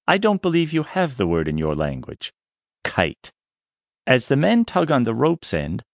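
Slow, gravelly dockside guide. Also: male voice, mid-range voice, dry recording, studio quality, exaggerated voice. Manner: deliberate, theatrical explaining. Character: Chinese dockside guide, explanatory man, gravely foreboding. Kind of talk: dramatized audiobook acting.

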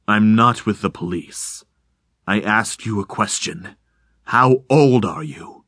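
A man reading aloud, voicing a mysterious unseen stranger in the fog who speaks slowly and formally in a deep, insubstantial voice. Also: a bit bright, dry recording, clean studio sound, heavily acted. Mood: calm, menacing. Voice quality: deep and insubstantial, slow, measured.

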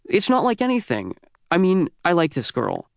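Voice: mid-range voice, huge pitch swings, moderate pace, vocal fry, dry recording, clean studio sound, pitched above the performer's own voice. A man voicing a geeky teenage schemer for an audiobook, awkward and evasive, persuading in a casual, hedging way. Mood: wheedling, defensive.

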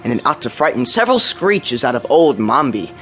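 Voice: mid-range voice, big pitch swings, a little fast, gravelly. An actor playing a mischievous young boy in a radio drama, eager and cheeky. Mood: proud, playful. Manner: eager, boyish, theatrical. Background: music.

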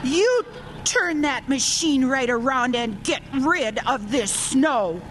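A woman's voice: high voice, animated, slow pace, slight background noise. A woman playing a haughty, indignant old grandmother in a radio drama, imperious and easily affronted. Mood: outraged, demanding. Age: older.

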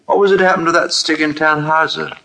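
A man's voice: fairly high pitch, steady tone, fairly quick, gravelly, sharp tone, pitched above the performer's own voice, low-quality recording. A man playing a timid, bewildered clerk who mutters hesitantly to himself in a vintage radio drama. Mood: pondering. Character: timid, bewildered male clerk testing his miraculous powers.

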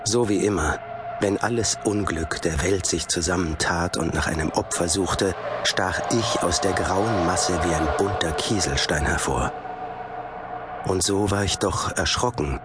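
A man's voice: low voice, measured pace, over loud music. An actor playing a nervous, fatalistic man who tells of his own misfortune in an audio drama, brooding and resigned, at times agitated. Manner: brooding, resigned narration breaking into agitated dialogue. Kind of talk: audio drama acting with first-person narration. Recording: loud noise under the voice.

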